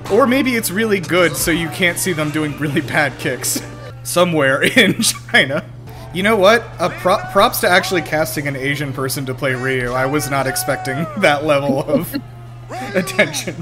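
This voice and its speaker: A man hosting a podcast, giving casual, jokey, excitable commentary on a film. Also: on the high side, moderate pace, over music. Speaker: podcast host.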